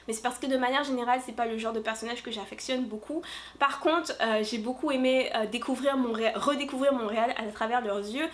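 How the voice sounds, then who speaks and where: Upbeat woman book reviewer with a slightly high voice, talking casually to camera with lots of little pauses. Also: a little hiss or hum. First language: French. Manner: chatty and upbeat, many filler pauses. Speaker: book vlogger and fantasy author. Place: room decorated for Halloween.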